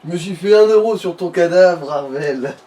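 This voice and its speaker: A man's voice: high-pitched, moderate pace, mellow. A man chatting casually as a companion commentator during a video game session. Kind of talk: casual chat over gameplay. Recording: distant mic with background noise.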